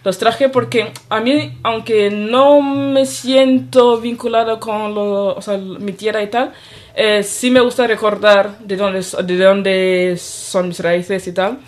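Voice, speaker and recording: A woman's voice: mid-range voice, steady tone, takes it slowly. A woman talking casually on a radio roundtable, loose and restarting her phrases often. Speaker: roundtable participant.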